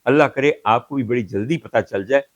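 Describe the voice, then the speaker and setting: Older pakistani guy hosting, dry voice, reads punjabi lines slow and loud. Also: mid-range voice, no room sound. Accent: Pakistani Urdu. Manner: declaiming Punjabi verses slowly and emphatically. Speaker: talk show host. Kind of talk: reflective monologue.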